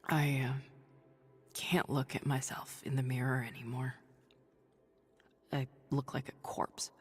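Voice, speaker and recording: An actress playing an ailing, stubborn woman doctor turned hero in a video game drama, speaking in a halting, confiding but resolute way. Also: rumbling low, level tone, long reverb tail, soft background music, full character voice. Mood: tired, confiding. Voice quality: husky, breathy, creaky. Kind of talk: dramatic video game acting, audio log monologue.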